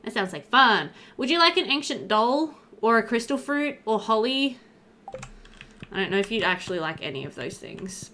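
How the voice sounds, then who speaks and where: A woman's voice: medium pitch, bright, forceful tone, a little hiss or hum. A woman in her thirties giving casual, chatty let's play commentary, thinking aloud and talking to the game characters. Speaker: gaming video host. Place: indoor room at home. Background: game music.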